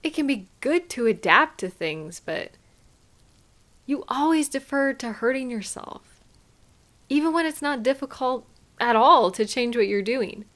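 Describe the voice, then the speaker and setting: Woman acting, loving female partner, intimate audio roleplay, soothing, gently questioning, slow pace. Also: a little high, lively intonation. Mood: gently chiding. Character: caring, gently chiding partner arriving home to their sweetheart. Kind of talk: intimate scripted audio roleplay addressed to the listener.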